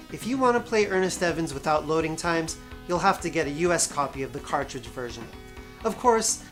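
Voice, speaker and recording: A woman with a low-pitched voice narrates a scripted video game review over music. She speaks as the host, wry and sarcastic, landing emphatic stresses on key words.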